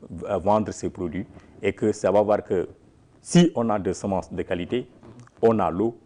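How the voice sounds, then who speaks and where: A man's voice: on the low side, normal speed, warm tone. A man who leads a farmers' organization, answering interview questions emphatically, with frequent restarts.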